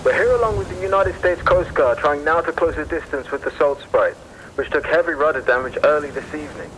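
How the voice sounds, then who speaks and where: A man acts out an urgent television reporter out in a storm at sea. He yells in a strained, fairly high voice through a radio-like broadcast filter, over faint rumble. It is a dramatized news bulletin from an audio drama. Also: a little fast, poor audio. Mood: urgent, alarmed. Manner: yelling, strained.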